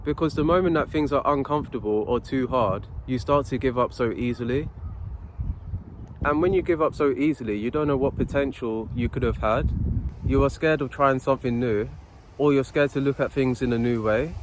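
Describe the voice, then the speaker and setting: A man's voice: medium pitch, normal speed. Young male vlogger, mid-range voice, earnest and preachy self-help patter, outdoor phone recording with faint street noise. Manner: earnest, repetitive, preachy motivational phrasing. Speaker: motivational vlogger. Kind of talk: unscripted self-help talk to camera.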